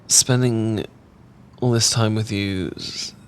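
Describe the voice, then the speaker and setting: A British man playing a shy, tender roommate in a romantic ASMR audio roleplay, speaking in a gentle, halting, earnest way. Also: lowish voice, steady tone, slight background noise. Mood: nervous, earnest. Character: shy, tender male roommate confessing love.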